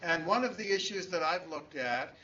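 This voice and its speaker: A man speaks over a muffled remote connection. His voice is bright and slightly high, and he talks with frequent fillers and restarts. A faint hum of ambience sits behind him. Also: lively intonation, normal speed. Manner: hesitant, many restarts and fillers. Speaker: conference speaker, law professor. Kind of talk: conference talk over remote link. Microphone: distant room microphone on a call.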